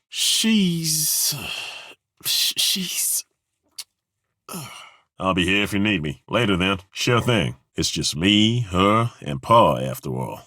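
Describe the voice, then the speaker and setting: A rough-edged former temple guard for a fantasy game, his voice sitting extremely low with a husky grain. He talks bluntly with a wry edge and swears casually, yet his delivery rises and falls with lively swings. The studio sound is bone dry. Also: no room sound, heavily acted. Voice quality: very deep, commanding voice. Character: gruff, blunt male ex-temple guard fighter. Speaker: companion in a game. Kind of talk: game voice acting. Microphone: studio microphone.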